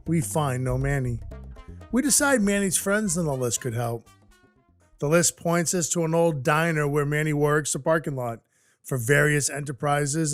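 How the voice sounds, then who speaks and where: A man's voice: on the high side, natural voice. A man playing a brash, wisecracking dwarf who is Santa's helper, narrating and acting in an audio play with blunt, wry phrasing. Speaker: narrator and lead character.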